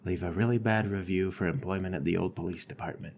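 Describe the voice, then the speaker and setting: A man playing a grumpy, contrary, complaining cop in a deadpan, curmudgeonly way during improvised comedic roleplay. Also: deep voice, fast talker. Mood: dry.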